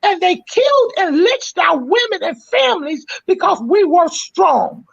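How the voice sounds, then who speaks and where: A woman from North Carolina, hosting a panel discussion in a preacherly, impassioned way that rises to shouts and tearful cries. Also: very high voice, very animated, measured pace, vocal fry. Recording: muffled lo-fi podcast audio.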